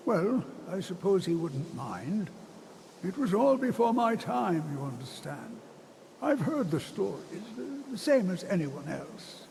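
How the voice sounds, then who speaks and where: A man playing a kindly, measured old wizard scholar, gentle and slightly hesitant, in a fantasy video game. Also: high-pitched, big pitch swings, creaky, exaggerated voice. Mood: reflective. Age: older. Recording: long hall reverb, noisy ambience.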